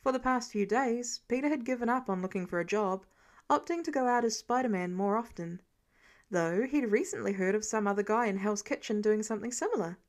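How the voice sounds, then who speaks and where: A woman's voice: medium pitch, brisk. A woman reading a story aloud as scripted narration, acting it out expressively. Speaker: podfic narrator reading fan fiction.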